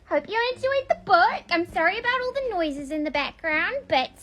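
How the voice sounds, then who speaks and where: A woman's voice: very high voice, sing-song, normal speed, fairly bright tone, a little hiss or hum. A woman reading aloud in a childlike storybook manner, with a casual, homemade read-along style. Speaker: vlogger reading a picture book aloud.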